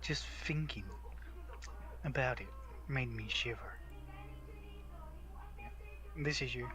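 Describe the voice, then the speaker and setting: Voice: mid-range voice, takes it slowly. A giggly, playful game streamer who switches between casual chat and dramatic read-aloud narration, with game music behind. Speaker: streamer reading a visual novel.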